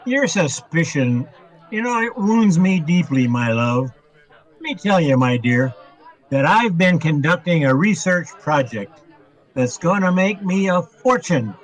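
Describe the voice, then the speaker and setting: A man's voice: fairly high pitch, very animated, measured pace, a faint crowd, low-quality recording. A man playing a tipsy, henpecked husband in a radio comedy, wheedling and placating with flowery self-importance. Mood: pompous, wheedling. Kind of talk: old-time radio comedy acting.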